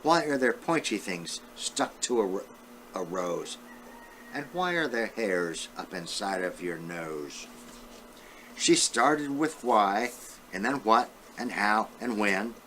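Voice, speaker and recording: A man's voice: fairly low pitch, expressive, slow, sharp tone, a little hiss or hum. An elderly man reading a children's storybook aloud, talking directly to his young listeners as a great-grandfather would. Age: 70s or older.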